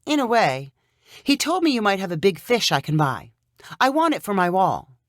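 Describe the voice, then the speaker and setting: Storybook part: confident professional woman, brisk and teasing, female reader acting, animated intonation, dry sound. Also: mid-range voice, dry recording, voice pushed up, close to an everyday voice. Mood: assured. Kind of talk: audiobook character dialogue.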